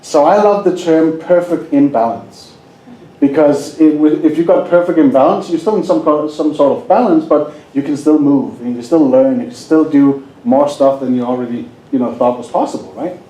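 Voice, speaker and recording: Danish-accented male seminar speaker, jokey and chatty, mellow tone, roomy echo. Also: fairly high pitch, moderate pace. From Denmark. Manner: jokey, asks the audience questions. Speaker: hypnosis presenter. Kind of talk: live seminar talk.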